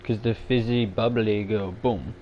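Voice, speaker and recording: A man's voice: lowish voice, fairly even intonation, measured pace, lo-fi sound, slight background noise. A man with a Canadian accent chatting casually on a livestream, rambling with lots of fillers and restarts as he answers viewers. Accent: Canadian English. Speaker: streamer answering chat.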